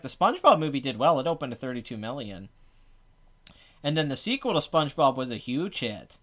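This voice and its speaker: A man with a raspy, phlegmy voice and a clogged throat, hosting a casual, rambling box office talk with blunt, sarcastic asides. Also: mid-range voice. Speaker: box office show host. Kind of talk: casual live commentary.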